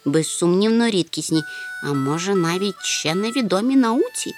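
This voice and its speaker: A woman voicing a fussy, slow-witted male insect-collecting creature in a storybook, with a muttering, pedantic manner. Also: on the low side, animated, a little mellow, soft background music, close to an everyday voice. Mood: puzzled muttering.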